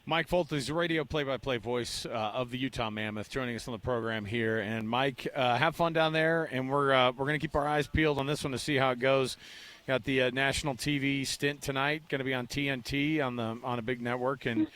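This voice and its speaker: A man with an American accent and a mid-pitched voice hosts sports radio. His delivery is casual and conversational, like easy studio chat. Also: fairly quick, fairly bright tone, clean tone.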